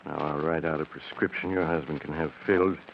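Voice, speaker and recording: A man acting in a 1940s radio drama as a sinister mystery host and grave doctor, speaking in a deliberate, measured way. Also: a bass voice, fairly even intonation, slight background noise. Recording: dull vintage radio recording with faint music.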